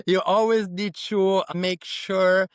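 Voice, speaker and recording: Clear-voiced Brazilian man with accented English. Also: high voice, little pitch movement, takes it slowly, no room sound, clean studio sound. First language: Portuguese. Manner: non-native English, often checks with "right?". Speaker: podcast guest, innovation consultant. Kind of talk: conversational interview answers.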